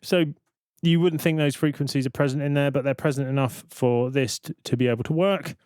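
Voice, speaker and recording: A man's voice: medium pitch, steady tone, a little fast, a little mellow, dry recording, studio quality, faint music behind. A man presenting a tutorial in an informal, explanatory way, thinking aloud as he goes. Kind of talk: informal software tutorial narration.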